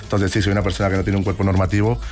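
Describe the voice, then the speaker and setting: Rapid-talking man, casual interview guest. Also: sits low, level tone, a little mellow, soft background music. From Colombia. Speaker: interview guest, twerk dance teacher and academy owner.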